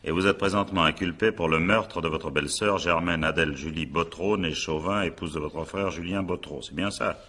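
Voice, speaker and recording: An examining magistrate in a radio drama, with a very low, bright and forceful voice. He questions in a brisk, authoritative way, impatient and dryly sardonic, with a husky edge. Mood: formal, brisk. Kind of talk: French radio drama acting.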